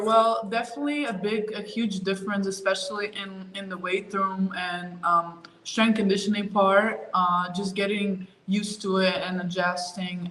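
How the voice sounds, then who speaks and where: A young woman from Ukraine, answering interview questions in a relaxed, easygoing way with plenty of fillers. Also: on the low side. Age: young adult. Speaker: college basketball player. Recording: echoey room.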